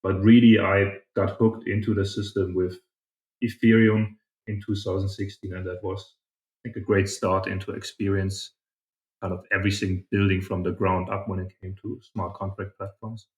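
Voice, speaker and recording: Guy being interviewed, lowish voice, thoughtful and chatty, pretty echoey room. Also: little pitch movement, normal speed, a little mellow. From southern Germany, partly grew up in China. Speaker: podcast guest, startup founder. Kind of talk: casual interview answers.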